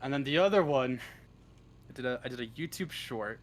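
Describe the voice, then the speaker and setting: A man's voice: medium pitch, expressive, fairly quick. A man chatting casually as an interview guest, in a joking, self-deprecating way. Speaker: interview guest, script writer.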